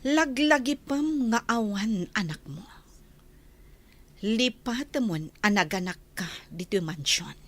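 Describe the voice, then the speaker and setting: A woman's voice: on the high side, animated, slow, a bit bright, full character voice. A woman playing a haughty, cold mistress of a mansion in a radio drama, stern and commanding. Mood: cold, dismissive.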